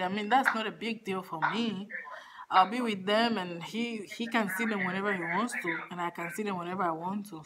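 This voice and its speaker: A woman prank-calling a relative, talking in a calm, matter-of-fact way with hesitant restarts. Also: mid-range voice, poor audio. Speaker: prank caller. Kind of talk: casual phone chat.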